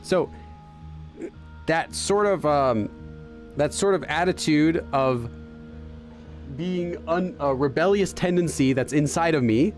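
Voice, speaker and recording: A man's voice: fairly high pitch, takes it slowly, pauses often, faint music behind. A man hosting a gaming channel, thinking aloud in casual let's-play commentary and hesitating with fillers and restarts as he translates for himself. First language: English.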